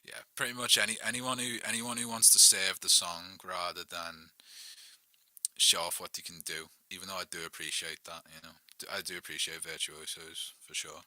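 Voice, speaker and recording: A young Liverpool man with a very low voice that still rings sharp and bright. He chats loosely about music as a guest, padding his thoughts with fillers. The sound is close and dry. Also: steady tone, normal speed, no echo, low-quality recording. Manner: loose, filler-heavy, lots of "like" and "you know what I mean". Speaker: songwriter guest. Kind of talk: casual chat.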